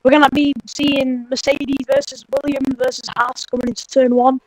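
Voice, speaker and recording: A man co-commentating a sim race, eager and chatty, rushing and restarting his words. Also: very high voice, level tone, no echo, low-quality recording.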